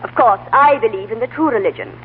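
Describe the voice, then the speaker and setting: An actress playing a prim, self-righteous missionary spinster in an old radio drama, emphatic and condescending. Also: female voice, on the high side, quick pace, husky. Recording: muffled vintage radio broadcast.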